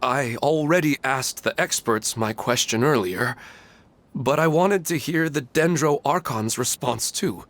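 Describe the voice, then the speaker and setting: Wistful grieving widower, mid-pitched. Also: male voice, measured pace, a bit bright, a little hiss or hum. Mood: earnest, pleading. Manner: hesitant, wistful. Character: melancholy grieving widower and father, wistful, humble. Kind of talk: anime game voice acting.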